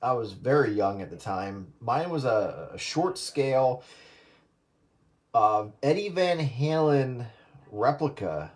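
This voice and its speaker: A man's voice: mid-range voice, lively intonation, slow pace. A man chatting casually and reminiscing as he takes part in a radio round table. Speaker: radio operator.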